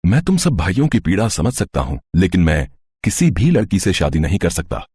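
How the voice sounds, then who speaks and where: Heron prince for a cartoon story voiced by a man: vain, self-assured, very deep husky tone with wide swings of pitch. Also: sing-song, brisk, darkish tone, no echo, heavily acted. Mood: boastful. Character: proud clever male heron prince. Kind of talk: animated storybook voice acting.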